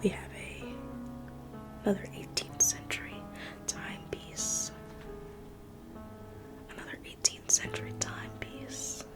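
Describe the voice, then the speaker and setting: A woman's voice: medium pitch, sing-song, measured pace, pauses often, over loud music. A young woman whispering an ASMR roleplay as a museum guide, playful and teasing like a salesperson.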